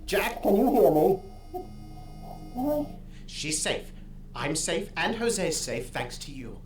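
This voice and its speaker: A woman acting low-voiced, desperate protector. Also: sing-song, slow, darkish tone, echoey room, a little hiss or hum. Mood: urgent. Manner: urgent, pleading, emotional. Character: protective, desperate woman tending a dying friend. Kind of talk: audio drama acting.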